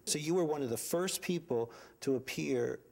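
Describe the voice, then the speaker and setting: Give a man with a creaky, gravelly edge to his voice. He is a casual interviewer, effusive with his guest and apt to jump in with short questions. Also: on the high side, moderate pace, a little mellow. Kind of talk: casual celebrity interview chat.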